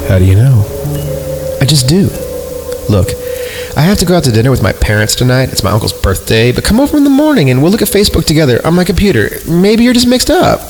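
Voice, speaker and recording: Horror story part: man reading a worried, reasonable teen friend, calming, music behind. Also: on the high side, fast talker, in a large hall, voice pushed up. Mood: insistent. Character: concerned teenage friend, soothing, trying to be logical.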